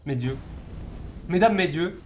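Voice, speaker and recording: Higher-voiced male game caster, noisy stream. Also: animated, slow. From France. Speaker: esports caster. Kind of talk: live video game match commentary with casual asides. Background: game gunfire and clicks.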